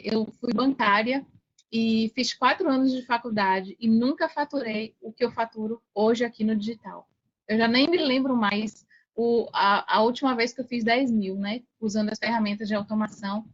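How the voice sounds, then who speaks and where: A Brazilian woman in her forties telling her story conversationally as a podcast guest, chatty and often checking for agreement with short tag questions. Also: a little high. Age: 40s. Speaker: podcast guest, affiliate marketer. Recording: dull lo-fi sound.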